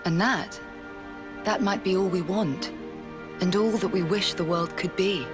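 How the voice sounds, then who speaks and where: A woman playing a resolute, composed military commander in a video game cutscene, speaking in a measured, formal and self-assured way. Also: lowish voice, close to an everyday voice. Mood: earnest, reflective. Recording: loud music behind the voice. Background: game soundtrack music.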